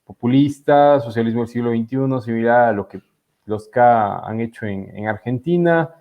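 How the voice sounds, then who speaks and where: A young man from Ecuador, a law student, explaining politics as an interview guest in a hesitant way with many fillers, heard over a video call. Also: mid-range voice. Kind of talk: casual interview talk. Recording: dull lo-fi audio.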